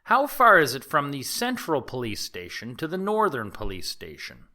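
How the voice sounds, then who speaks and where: An actor playing a formal, insistent prosecuting attorney from the 1890s in a courtroom audio drama, speaking in a measured, emphatic way. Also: male voice, mid-range voice, huge pitch swings, sharp tone, full character voice. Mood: formal questioning. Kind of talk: dramatized courtroom oratory and examination.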